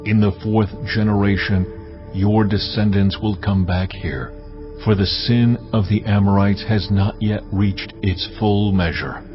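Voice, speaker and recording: An actor voicing God in a dramatized scripture reading, solemn, deliberate and authoritative. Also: male voice, lowish voice, steady tone, natural voice. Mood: solemn, commanding. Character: God, a solemn, commanding, majestic male divine voice. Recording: slightly dull audio, music behind. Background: background music.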